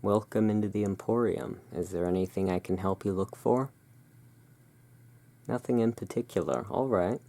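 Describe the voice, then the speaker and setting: A soft-spoken ASMR performer playing the courteous owner of a gothic curiosity emporium, speaking in a hushed, deliberate way. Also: deep voice, creaky. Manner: slow, deliberate, hushed sales patter. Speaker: roleplaying shop owner, ASMR host.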